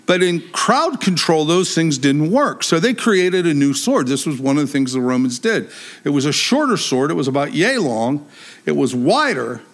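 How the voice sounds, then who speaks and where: Preacher, man, high pitch, big voice, animated conversational sermon.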